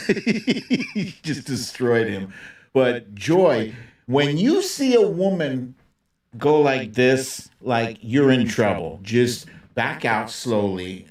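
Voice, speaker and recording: A man hosting a live political stream in a casual, slangy, mocking and profane way. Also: mid-range voice. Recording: roomy echo, distant from the mic.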